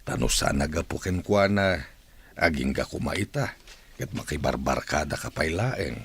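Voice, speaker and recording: A man playing a caring middle-aged village father in an Ilocano radio drama, with a husky voice. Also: a bass voice, expressive, normal speed, slight background noise. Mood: earnest, fatherly.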